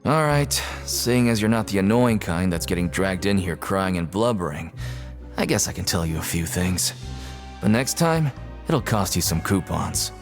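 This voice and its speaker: An actor playing a surly, mercenary prison guide in a video game, gruff, curt and dismissive. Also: male voice, sits low, lively intonation, normal speed, over music. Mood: grudging.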